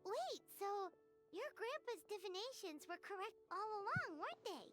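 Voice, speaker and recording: Squeaky, very high-pitched little fairy companion. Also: female voice, big pitch swings, moderate pace, music in the background. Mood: surprised realization. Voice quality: squeaky, childlike. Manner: exclamatory, eager, refers to self in third person. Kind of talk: animated video game dialogue acting.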